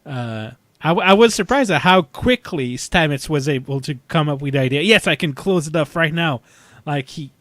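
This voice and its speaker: A man talking casually as a podcast panelist about sci-fi television, thinking aloud in a halting way. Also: on the high side, lively intonation, a bit bright, no echo.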